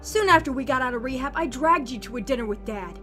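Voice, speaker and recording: A woman's voice: high voice, lively intonation, fast talker, bright, forceful tone, soft background music. A woman acting a bitter, sarcastic, combative sister who survives in a zombie apocalypse audio drama. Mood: sarcastic, accusing. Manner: sarcastic, confrontational, swearing.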